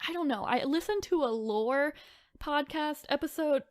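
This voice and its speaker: A woman's voice: high-pitched, moderate pace, no echo, clean studio sound. A woman co-hosting a podcast in casual chat, informal and chatty, sometimes reading out descriptions with asides. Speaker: podcast co-host.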